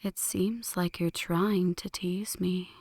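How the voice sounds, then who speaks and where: Woman as a slow, whispering, seductive vampire woman. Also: fairly low pitch, fairly even intonation. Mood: teasing, seductive. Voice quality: hushed, breathy whispering. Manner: slow, teasing, poetic. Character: flirty, brooding female vampire, seductive and melancholic. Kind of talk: ASMR roleplay, scripted dramatic monologue.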